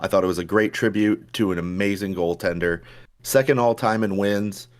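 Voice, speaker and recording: Hoarse low-voiced male podcast host. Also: normal speed. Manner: relaxed, chatty, with frequent fillers and restarts. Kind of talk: casual chat.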